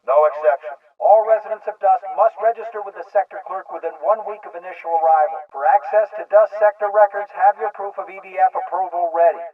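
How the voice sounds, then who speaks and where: A man's voice: sits high, fairly quick, few pauses. An actor playing a firm, official male civic announcer giving polite but directive notices over a filtered speaker in a video game. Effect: loudspeaker radio filter. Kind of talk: scripted public-address announcements in a video game. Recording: tinny band-limited PA speaker, distant.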